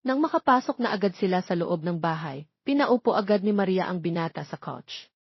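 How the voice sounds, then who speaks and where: A woman's voice: on the low side, animated, fairly quick, husky, dry recording. A woman reading a scripted romance story as an audiobook narrator, in a muffled recording.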